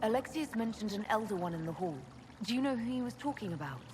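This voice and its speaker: A woman playing a level-headed, earnest young adventurer in a video game, speaking in a measured way. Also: fairly high pitch, animated, noisy background, lightly acted. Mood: concerned. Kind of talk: video game dialogue acting.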